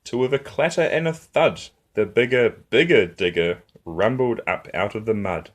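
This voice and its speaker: A man reading a rhyming picture book aloud for young children in an expressive, rhythmic way, as a storytime host. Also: medium pitch, moderate pace.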